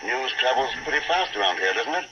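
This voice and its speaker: A man's voice: medium pitch, very animated, a little fast, dull audio, close to an everyday voice. A man playing a cool, self-assured investigator in a 1940s radio spy adventure, speaking in a clipped, composed way. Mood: guarded, probing. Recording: very noisy, crackly old radio recording.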